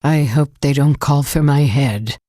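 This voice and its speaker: A woman's voice: extremely low pitch, a little slow, dark tone, dry recording, clean studio sound. An actress playing a self-assured female government leader under pressure in a video game, authoritative and grave. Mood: worried, pressing.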